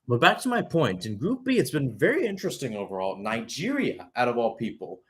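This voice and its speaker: Young man calling soccer, lively and slightly husky. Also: medium pitch, fairly quick. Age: young adult. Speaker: play-by-play commentator. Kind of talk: live soccer radio play-by-play commentary with asides.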